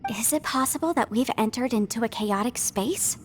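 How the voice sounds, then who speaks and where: Woman as higher-voiced, composed young investigator. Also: lively intonation, slight background noise, lightly acted. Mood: thoughtful. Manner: measured, articulate, thoughtful. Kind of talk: video game voice acting.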